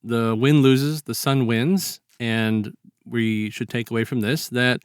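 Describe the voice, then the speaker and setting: A man's voice: medium pitch, steady tone, takes it slowly, clear, resonant tone, dry recording. Rambling male co-host, close dry sound. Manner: rambling, colloquial, acts out short quotes. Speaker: podcast co-host. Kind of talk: casual chat, informal storytelling.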